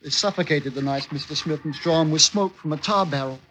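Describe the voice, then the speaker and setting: A man's voice: on the high side, brisk, fairly bright tone, close to an everyday voice, poor audio. An actor playing an earnest, polite sixteen-year-old sailor boy, deferential and innocent, in old-time radio drama style. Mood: pleading. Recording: old radio recording with noise.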